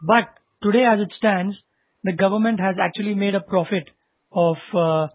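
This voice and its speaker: A man's voice: high-pitched, normal speed. A man co-hosting a podcast, discussing business news conversationally and posing rhetorical questions as he explains. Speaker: podcast co-host. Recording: narrow, phone-like lo-fi sound.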